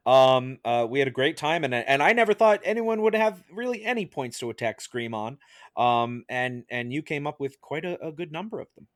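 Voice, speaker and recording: A man hosts a relaxed panel chat in a close, dry recording. His pitch sits in the middle of the male range, and he talks in a joking, teasing way as he runs the show. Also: normal speed, dry recording. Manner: jokey, teasing. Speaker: podcast host.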